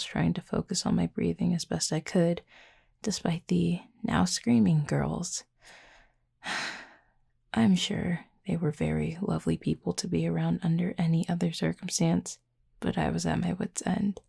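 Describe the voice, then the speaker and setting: Woman voicing a husky, flirty werewolf, deeply gravelly. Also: warm tone, full character voice. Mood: wry storytelling. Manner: dry, teasing, unhurried, wordy. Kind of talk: intimate audio roleplay, late-night chat.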